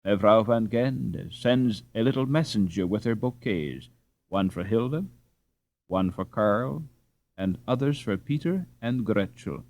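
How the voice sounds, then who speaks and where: A man's voice: lowish voice, lively intonation, measured pace, darkish tone. Man narrating a storybook expressively. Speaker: audiobook reader.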